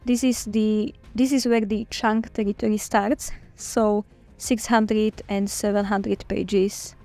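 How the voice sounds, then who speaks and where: Gravelly-voiced woman. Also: medium pitch, flat delivery, slow pace, darkish tone, soft background music. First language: Slovak. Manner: chatty, enthusiastic, with small restarts. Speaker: book vlogger. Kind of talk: casual reading-journal flip-through.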